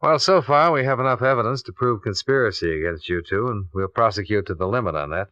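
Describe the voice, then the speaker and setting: A blunt insurance investigator played by a male actor in vintage radio drama, with a lowish, forceful voice. He speaks in clipped, pointed phrases, whether questioning or narrating his case. The recording is dull, dry and lo-fi. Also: fast talker, no echo, lightly acted. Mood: stern, pressing. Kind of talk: 1950s radio detective drama acting.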